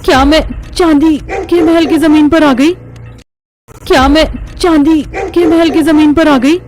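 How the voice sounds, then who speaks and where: A woman's voice: high voice, steady tone, a little slow, pitched above the performer's own voice. A woman voicing a young village girl who calls out and pleads in a horror cartoon story. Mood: frightened. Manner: exclaiming, calling out.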